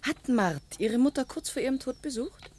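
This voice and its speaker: An actress playing a self-possessed amateur sleuth in a German radio crime drama, direct and composed in manner. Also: female voice, high voice, expressive, normal speed, a little mellow, a little hiss or hum. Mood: probing.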